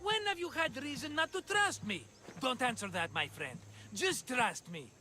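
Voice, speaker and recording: A broke chatty merchant hustler from a video game, super bright voice, swoops around dramatically. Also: male voice, very high-pitched, big pitch swings, normal speed, a little hiss or hum, exaggerated voice. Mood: scheming, excited. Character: excitable scheming cheerful male merchant, always broke. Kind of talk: video game cutscene acting.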